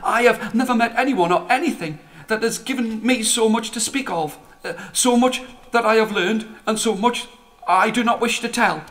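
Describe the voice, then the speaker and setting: A man voicing a young, timid comet in a story, halting and sorrowful, repeating his phrases. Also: high-pitched, a bit bright, distant mic, pitched above the performer's own voice. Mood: hesitant, troubled. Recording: music playing under the voice.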